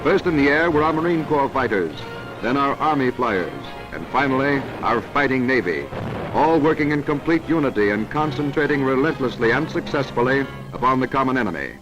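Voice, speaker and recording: A man's voice: medium pitch, expressive, fairly quick, highs cut off, music in the background. An American man narrating a scripted wartime newsreel in a dramatic, declamatory, patriotic manner, on a vintage 1940s soundtrack.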